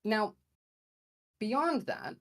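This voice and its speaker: A woman's voice: mid-range voice, sing-song, drawn-out words. A woman with an American accent making a debate argument in a conversational way, sometimes searching for words. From grew up in America. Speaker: debate guest. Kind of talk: argumentative debate opening statement.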